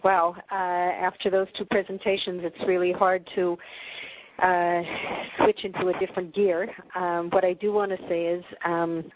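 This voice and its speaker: A woman's voice: on the low side, level tone, normal speed, muffled recording, slight background noise. A woman with a Canadian accent, a nonprofit director, addressing donors in a conversational way with many fillers. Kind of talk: speech to donors.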